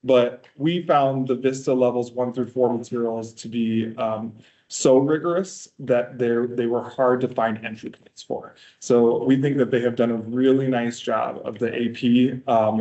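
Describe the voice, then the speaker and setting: A man presenting curriculum materials to a school board in a meeting room, talking in a relaxed, conversational way with frequent fillers. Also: mid-range voice, dull audio.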